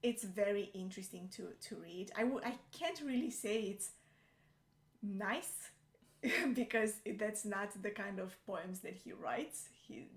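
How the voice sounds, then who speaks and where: A woman's voice: medium pitch, expressive, unhurried. Romanian-native woman chatting, echoey room. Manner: hesitant, many fillers and restarts. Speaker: co-host. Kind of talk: casual chat.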